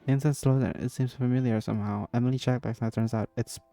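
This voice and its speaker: Male gamer, mellow dark voice, casual commentary, reactive interjections, faint game music. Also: mid-range voice, steady tone, fast, vocal fry. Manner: reactive, murmuring short interjections. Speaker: gamer recording a playthrough.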